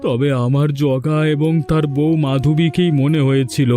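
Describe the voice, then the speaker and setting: A man plays an easygoing, reassuring fisherman in a storybook cartoon. His voice is fairly high and mellow. Faint music plays behind him. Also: fairly even intonation, unhurried, close to an everyday voice. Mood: weary. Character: easygoing village fisherman, loyal friend and husband. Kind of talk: cartoon voice acting.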